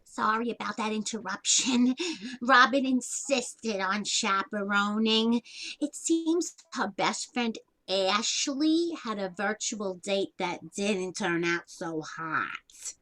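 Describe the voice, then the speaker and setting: A woman's voice: medium pitch, slow, bright, forceful tone, poor audio. An actress playing a chatty, self-deprecating older Italian-American woman in a stage comedy, with a folksy, wisecracking way of talking. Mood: hesitant, wry.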